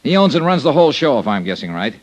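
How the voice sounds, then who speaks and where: Determined insurance investigator, American, hard-boiled, clipped, highly animated intonation, old-time radio drama. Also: male voice, fast, dry recording, rough recording. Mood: commanding. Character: tough, commanding insurance investigator, brisk and determined. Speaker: lead actor and narrator of a detective radio serial.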